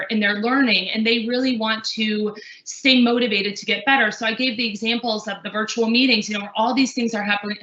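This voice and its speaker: A woman's voice: fairly high pitch, pushed and bright, roomy sound, lo-fi sound. A woman who works as a language trainer, speaking earnestly in a webinar panel discussion, with many fillers and restarts.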